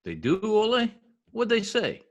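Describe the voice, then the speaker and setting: Radio comedy lead, male actor: blustering middle-aged American husband, folksy and slangy, very lively intonation. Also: high-pitched, unhurried, lo-fi sound, exaggerated voice. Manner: slangy, pompous, mangles words. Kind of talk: old-time radio comedy acting.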